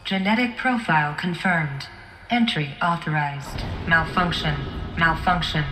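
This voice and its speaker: A woman voicing an impassive computer security system in a video game, making flat, procedural announcements. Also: sits low, measured pace, over music. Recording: echoing, faint background noise.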